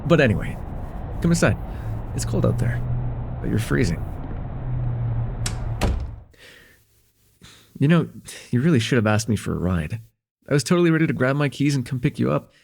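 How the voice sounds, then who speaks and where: A man playing an affectionate, teasing young chemistry student in a casual, intimate one-sided roleplay, talking with hesitant, soft-hearted banter. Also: mid-range voice, sing-song, a little fast, vocal fry, pauses often, slight background noise. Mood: teasing, welcoming. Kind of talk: slice-of-life ASMR roleplay, intimate one-sided dialogue.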